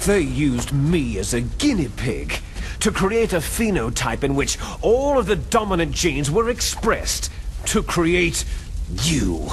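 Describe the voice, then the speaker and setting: Gloating villain with sweeping intonation. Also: male voice, fairly high pitch, very animated, slow pace, a little hiss or hum. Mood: grim, revealing. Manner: menacing, taunting, grandiose monologuing. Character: bitter, gloating villain, adult male clone soldier. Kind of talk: dramatic video game cutscene acting.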